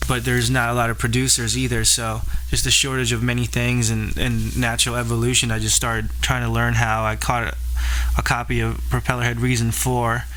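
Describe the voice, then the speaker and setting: A man's voice: medium pitch, completely flat delivery, fairly quick, few pauses, fairly bright tone, slight background noise, low-quality recording. A young man born in the Philippines, a rapper and producer, talking casually in an interview with a laid-back, rambling manner and lots of fillers.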